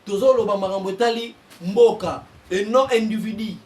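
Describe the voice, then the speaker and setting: A Congolese man, a journalist and political analyst, giving impassioned commentary and getting louder as he presses his points. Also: high voice, normal speed, distant mic. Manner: heated, mixes French and Lingala, bursts louder on points.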